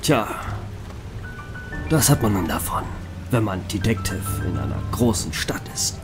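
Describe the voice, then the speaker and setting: A weary, sardonic police detective in an audio drama, acted by a man. He grumbles slowly, with pitch swinging widely, over music and rain. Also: animated. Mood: gloomy, weary. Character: weary, grumpy male police detective, easily exasperated. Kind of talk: German audio drama acting.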